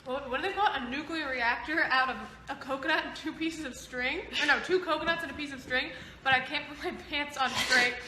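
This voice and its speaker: A woman's voice: high-pitched, brisk, few pauses, bright, forceful tone. A young woman in a casual livestream chat, making wry, self-mocking jokes. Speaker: singer in a family band. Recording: echoey room with clear background noise.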